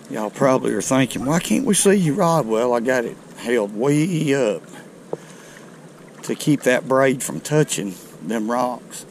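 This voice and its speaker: A middle-aged man, chatting to viewers in a folksy, excited way while fishing from a riverbank, recorded on a chest-mounted camera outdoors. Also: mid-range voice, slow pace, long pauses, a little hiss or hum, low-quality recording. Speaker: fishing video host. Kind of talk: casual outdoor commentary. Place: outdoors on a rocky riverbank below a dam. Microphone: chest-mounted action camera.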